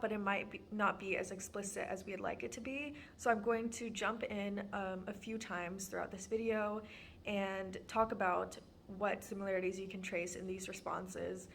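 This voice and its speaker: A woman's voice: mid-range voice, vocal fry, a little hiss or hum. A young woman from Texas introducing her student documentary in an earnest, prepared way with frequent ums. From Houston, Texas. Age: young adult.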